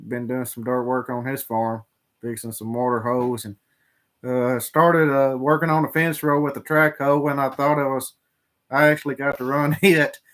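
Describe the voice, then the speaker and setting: A man, a farmer co-hosting a livestream and reading out viewer questions in casual chat. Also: mid-range voice. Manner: drawling, relaxed, halting with fillers.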